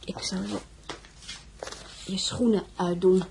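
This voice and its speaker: An actress playing a homely, caring servant and confidante in a period radio drama, with a creaky, husky voice. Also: female voice, on the low side, fairly even intonation, slow, a little mellow, a little hiss or hum.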